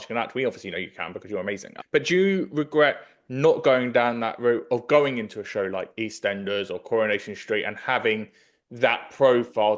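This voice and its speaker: A man in his thirties with an English accent, interviewing conversationally as a podcast host. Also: mid-range voice. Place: kitchen, indoors. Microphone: desk microphone with headphones.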